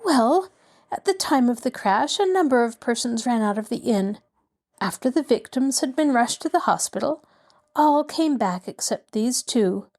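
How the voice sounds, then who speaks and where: A woman's voice: on the high side, lively intonation, moderate pace, a little mellow, voice pushed up. A woman voicing a genteel middle-aged lady in distress who pleads breathlessly and near tears. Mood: eager, fluttery.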